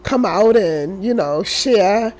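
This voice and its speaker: A woman in her thirties, a podcast guest who is hoarse from a cold. She shares her story slowly, with many fillers. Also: mid-range voice, lively intonation, a little mellow, poor audio. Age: 30s. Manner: slow and unhurried, with frequent fillers. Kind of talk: casual interview, telling her story.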